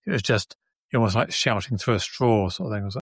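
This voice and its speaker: Deep, gravelly male podcast host. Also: fast, no room sound, clean studio sound. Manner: relaxed questioner, meandering phrasing. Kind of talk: casual podcast interview.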